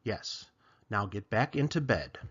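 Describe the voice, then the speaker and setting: A man voicing a patient, weary father chicken who gently chides his child in a bedtime storybook. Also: on the low side, big pitch swings, measured pace, husky, dull audio, pitched below the performer's own voice, full character voice. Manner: gently chiding.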